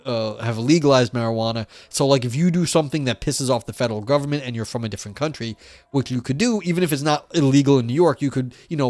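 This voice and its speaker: Man, casual stream chat, filler-heavy, voice going hoarse, dry sound, faint background music. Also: mid-range voice, a little mellow, no room sound. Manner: rambling, filler-heavy, chatty with viewers. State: losing his voice. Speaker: streamer reading philosophy papers. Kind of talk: casual live-stream chat and reading aloud.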